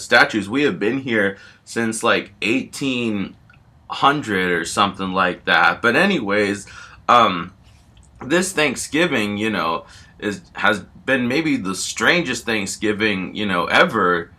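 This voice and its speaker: A man voicing a calm talking fish statue who tells stories in a rambling, hesitant, improvised way. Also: lowish voice, slow pace, pitched below the performer's own voice, full character voice. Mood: bemused. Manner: rambling, hesitant, filler-heavy. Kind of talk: improvised storytelling.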